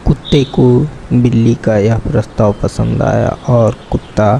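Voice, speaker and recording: A man narrating a scripted children's story in a plain, deliberate storytelling manner. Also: mid-range voice, gravelly, dark tone, slight background noise, poor audio.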